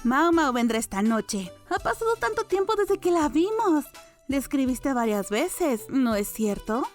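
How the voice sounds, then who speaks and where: Female narrator as old maidservant, fairly high voice, doting, playful, teasing, fussing, audiobook dialogue, light music behind. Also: big pitch swings, a little slow. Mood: coy, pleased.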